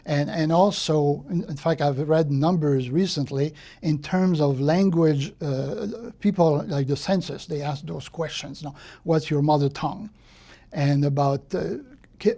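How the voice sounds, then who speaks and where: This older man speaks English with a Quebec French accent, his voice fairly high for a man but soft and mellow. He talks things through thoughtfully, repeating words as he goes and often checking in with a "no?" as he tells his life story. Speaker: guest.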